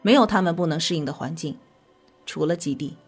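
A woman scientist in a science-fiction audio drama, her voice slightly low. She explains with measured, patient authority and composure while quiet music plays behind her. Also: breathy edge. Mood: instructive. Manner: measured, instructive. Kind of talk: scripted audio drama dialogue.

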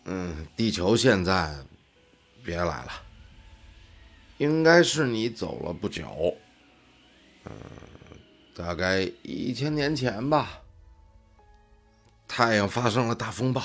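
An actor playing a casual, curious older brother in a science fiction radio drama, speaking colloquially and offhand. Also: male voice, on the low side, sing-song, slow pace, long pauses, soft background music, exaggerated voice. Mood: tipsy, explaining. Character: casual, curious man, big brother in sci-fi future.

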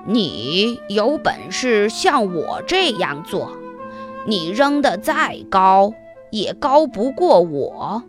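A woman voicing a strong, scornful, slow-witted male giant in a children's fairy tale, with drawn-out, challenging speech. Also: mid-range voice, big pitch swings, over music.

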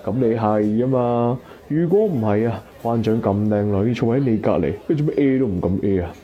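A man's voice: on the low side, animated, normal speed, close to an everyday voice. A man playing a chubby, easygoing barbecue-stall owner in a Cantonese radio drama, bantering colloquially with old classmates. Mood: teasing. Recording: dull lo-fi audio.

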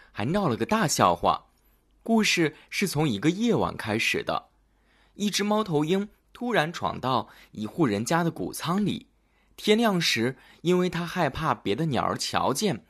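A storyteller narrating a scripted fairy tale for children in an expressive, dramatic manner. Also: mid-range voice, slightly rough.

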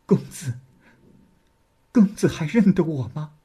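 A man's voice: on the high side, expressive, slow, mellow, slightly rough, voice pushed up, full character voice. A man voicing a remorseful young woman courtesan who speaks earnestly and pleadingly. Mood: tearful.

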